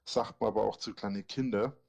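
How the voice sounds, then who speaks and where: A man's voice: fairly low pitch, steady tone, moderate pace, creaky, darkish tone. A man with a Franconian accent, rambling in casual chat as he quizzes listeners on dialect words he answers himself. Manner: rambling, asks and answers his own quiz questions.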